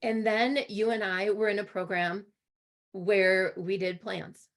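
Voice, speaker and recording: Female interviewer, hoarse, average pitch. Conversational, halting phrasing, co-hosting over a video call. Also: level tone, fairly bright tone. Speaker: co-host and interviewer.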